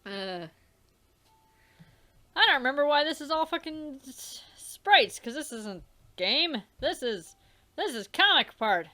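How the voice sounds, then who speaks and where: Woman let's player, high-pitched, rambling commentary full of cursing. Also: sing-song, takes it slowly, long pauses, pushed and bright, dry recording. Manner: swears a lot, rambling. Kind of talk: casual game commentary.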